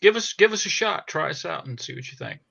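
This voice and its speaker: A man speaking as a podcast guest in a casual, explanatory chat, with halting, hesitant phrasing. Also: on the high side, expressive, creaky, bright, forceful tone, breathy edge, no echo, clean studio sound.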